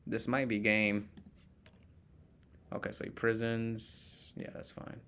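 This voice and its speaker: Bright-voiced man live-streaming a card game. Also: lowish voice, flat delivery, slow, a little hiss or hum. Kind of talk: casual live game commentary, chatting with viewers.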